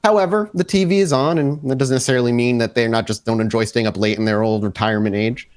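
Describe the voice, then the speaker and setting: A man improvising narration as game master of a tabletop horror game, descriptive and pausing as he builds suspense. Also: medium pitch.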